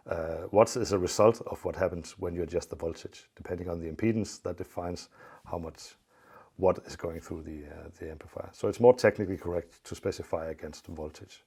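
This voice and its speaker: A man giving an unscripted technical explanation as an expert, in a measured, matter-of-fact way with some hesitation. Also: low voice, darkish tone, breathy edge. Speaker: loudspeaker company expert.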